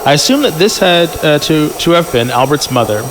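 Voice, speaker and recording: A man hosting a podcast, reading a story aloud haltingly with casual asides and chuckles. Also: on the high side, expressive, noisy background. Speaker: podcast host and reader. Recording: music playing behind the voice. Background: prominent background music.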